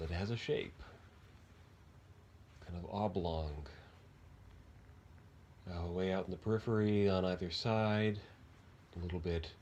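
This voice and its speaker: A man leading an unscripted guided meditation in a gentle, halting, unhurried manner with long pauses. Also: deep voice, slight background noise.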